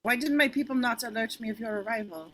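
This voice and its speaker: A woman's voice: a little high, fast, a bit bright, slight background noise, lightly acted. A woman playing a stern, proud leader of freedom fighters in a script read-through. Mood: scornful.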